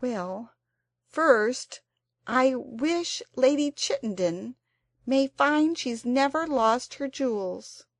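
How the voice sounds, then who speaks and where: A woman's voice: sits high, lively intonation, takes it slowly, no room sound, studio quality, pitched above the performer's own voice, full character voice. A woman doing an earnest, dramatic young girl. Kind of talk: storybook character voice.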